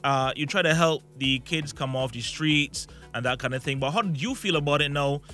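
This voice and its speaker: A man's voice: a little high, moderate pace, bright, forceful tone. A man hosting a radio morning show, interviewing guests in an informal, encouraging way. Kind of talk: casual broadcast interview. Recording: music playing behind the voice.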